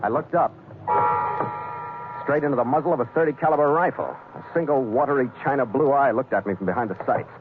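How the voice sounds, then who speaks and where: A man's voice: medium pitch, fast, very noisy, natural voice. A man acting as a ship's skipper who narrates his log in a 1940s radio adventure. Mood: measured, suspenseful. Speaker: lead actor and narrator. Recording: tinny 1940s radio recording with music.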